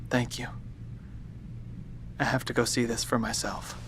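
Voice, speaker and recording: An actor playing an injured, guilt-ridden man in an audio drama, weak, halting and remorseful. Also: medium pitch, flat delivery, noisy background, lightly acted.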